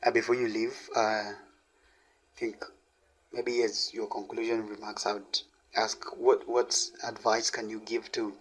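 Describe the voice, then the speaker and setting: A man acting in a radio drama as someone speaking politely and haltingly at a school talk. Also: fairly low pitch, level tone, fairly bright tone, breathy edge, low-quality recording. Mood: polite, hesitant.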